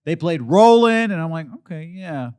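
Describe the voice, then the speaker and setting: A man co-hosting a podcast, telling animated stories in casual chat, breaking into loud impressions and falsetto. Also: moderate pace, clear, resonant tone. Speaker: podcast co-host.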